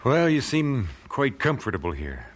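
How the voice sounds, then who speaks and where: An actor playing a mild, supportive 19th-century gospel singer in an audio drama, speaking in a gentle, attentive way. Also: male voice, medium pitch, big pitch swings, normal speed. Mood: friendly, interested.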